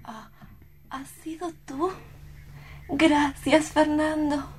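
A woman's voice: sits high, little pitch movement, very slow, darkish tone. An actress playing a tender, despairing young woman in a radio drama, with solemn, poetic delivery. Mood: weak, grateful. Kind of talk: melodramatic radio play acting.

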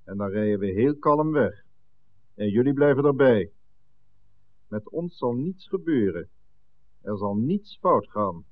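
An actor playing a cold, commanding gang boss in a 1960s radio drama, giving measured threats and curt orders. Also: male voice, medium pitch, lively intonation, close to an everyday voice. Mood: cold menace. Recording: tinny, band-limited old radio recording.

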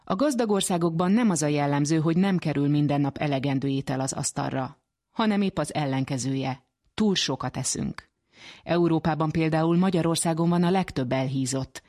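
A woman's voice: deep voice, warm tone. A woman reading scripted narration for a radio feature. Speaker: programme narrator.

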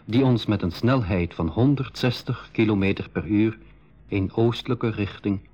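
A man narrating an adventure to young listeners in measured, scripted school radio style. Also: lowish voice, darkish tone, husky. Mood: measured, dramatic. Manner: measured storytelling. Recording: dull old radio recording.

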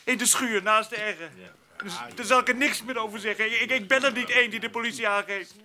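A man's voice: steady tone, quick pace, crowd noise, natural voice. An actor playing a hapless, timid male grape picker in a radio drama, stammering and whining plaintively. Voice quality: very high-pitched. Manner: stammering, whining, repeats words.

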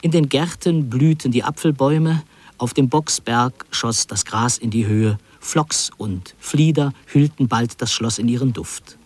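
A man playing a wry, reflective narrator recalling his wartime youth in literary radio drama, measured and lyrical. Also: medium pitch, darkish tone. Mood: vivid, lyrical.

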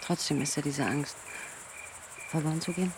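An actor playing a calm, pragmatic forest dweller in a naturalistic radio drama, matter-of-fact and gently probing. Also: male voice, fairly high pitch, normal speed, noisy background, lightly acted. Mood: calm, reflective.

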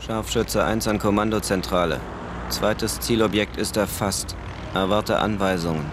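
A terse, dutiful police marksman acted by a man in a radio drama. His voice is lowish and clipped, under very loud street noise. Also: steady tone, measured pace, natural voice. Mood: tense, focused. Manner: clipped, military reporting. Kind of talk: German radio drama acting.

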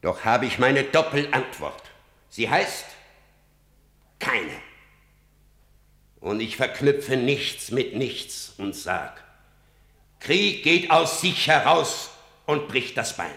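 A gravelly old blind seer. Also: male voice, mid-range voice, very animated, slow, pauses often, pushed and bright, reverberant, exaggerated voice. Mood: oracular. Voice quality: gravelly, solemn declamation. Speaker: actor in radio drama. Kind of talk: stage verse drama.

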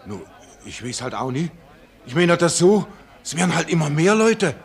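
An actor playing an agitated, servile underling in a radio play, flustered and repeating himself in folksy speech. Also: male voice, fairly high pitch, very animated, moderate pace. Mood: anxious. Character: agitated, servile male underling bringing alarming news. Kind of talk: theatrical German radio play acting.

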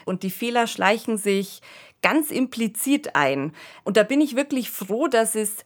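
A woman's voice: fairly high pitch, expressive, measured pace. A woman co-hosting a podcast, explaining things in an earnest, conversational way. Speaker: podcast co-host, expert commentator. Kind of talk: conversational discussion.

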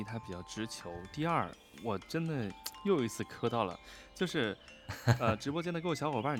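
A man chatting casually as a livestream guest, addressing listeners directly with questions. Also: on the low side. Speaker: guest on an audio drama livestream.

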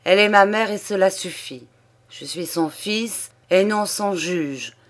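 A composed young aristocrat , read by a woman, who speaks evenly and respectfully, never raising his tone. Beneath the calm lies unbending resolve, as in a read-aloud novel. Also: lowish voice, moderate pace, lightly acted. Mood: firm, warning. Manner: quiet, composed, turning firm and menacing. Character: calm, dignified young nobleman, unshakably firm.

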